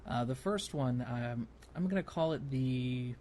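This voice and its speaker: A man hosting a casual gaming podcast, talking in a halting way as he thinks aloud. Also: mid-range voice, darkish tone, a little hiss or hum. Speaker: podcast host.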